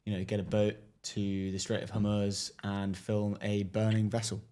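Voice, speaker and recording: Man, co-host, deep-ish voice, mellow, dry delivery. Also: completely flat delivery, normal speed, smooth. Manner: dry, low-key, reads ads and articles. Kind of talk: casual chat.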